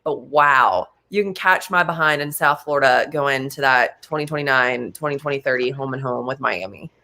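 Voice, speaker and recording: A man in his late twenties co-hosting a casual sports talk show, animated and quick in bursts, with many fillers. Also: medium pitch, gravelly. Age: late 20s.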